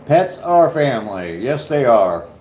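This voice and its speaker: A man from Ohio with a mild southern Ohio accent and a deep voice, chatting casually on his livestream and answering viewers' comments. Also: big pitch swings, measured pace, darkish tone, reverberant, a little hiss or hum, low-quality recording. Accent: southern Ohio, slight Appalachian touch. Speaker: livestream host.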